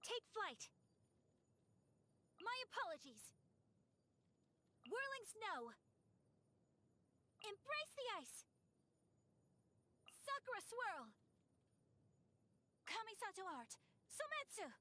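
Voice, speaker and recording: A woman playing a refined, gentle, dutiful young noblewoman in a video game, speaking formally and with poise. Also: extremely high pitch, sing-song, full character voice. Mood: fierce battle cries. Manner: formal, poised, soft-spoken, measured. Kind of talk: video game character voice lines. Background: background music.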